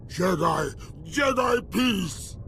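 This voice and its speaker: A man voicing a brutish, primitive alien raider in a video game, barking short broken phrases in a gravelly voice. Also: sits high, lively intonation, drawn-out words, pushed and bright, shouting, a little hiss or hum, heavily acted. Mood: demanding, agitated. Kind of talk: video game character acting. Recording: game music behind voice.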